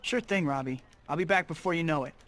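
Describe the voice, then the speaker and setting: A man performs a young American superhero from a video game, a little high in pitch. He is glib and awkward, quick with quips. Music plays behind the voice. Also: a bit bright, close to an everyday voice. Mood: casual. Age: young adult. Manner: glib, quipping. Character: wisecracking, awkward young male superhero and photographer.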